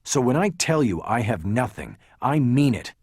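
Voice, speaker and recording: A man voicing a defiant, sharp-tongued defense lawyer, indignant and emphatic, in an audiobook crime novel. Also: medium pitch, very animated, moderate pace, breathy edge, dry recording, studio quality, voice pushed up. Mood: firm, exasperated.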